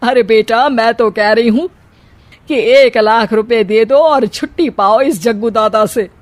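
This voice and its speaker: A woman's voice: fairly high pitch, unhurried, close to an everyday voice. A woman voicing a fretful, sarcastic older village mother-in-law in a cartoon story, grumbling and exclamatory. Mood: fretful, scolding. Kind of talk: cartoon moral-story acting.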